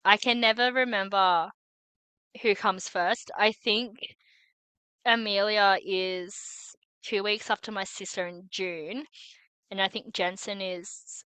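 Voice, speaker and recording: A woman's voice: medium pitch, steady tone, takes it slowly, pauses often, sharp tone, smooth, dry recording. Giggly woman in her twenties. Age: 20s. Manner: giggly, chatty. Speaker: podcast co-host. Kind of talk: casual chat.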